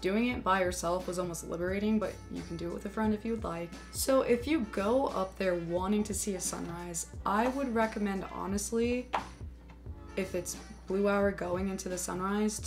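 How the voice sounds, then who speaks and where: A young woman vlogging solo on a mountain trail, chatty and explanatory as she walks through her gear and the route. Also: medium pitch. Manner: chatty and explanatory, talking while hiking. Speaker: hiking vlogger.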